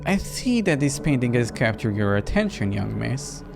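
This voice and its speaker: An eerie male art dealer from a horror visual novel, acted out by a man. He speaks in formal, slow, theatrical phrases, reverent and mysterious. Clear music plays behind him. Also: mid-range voice, sing-song, voice pushed down.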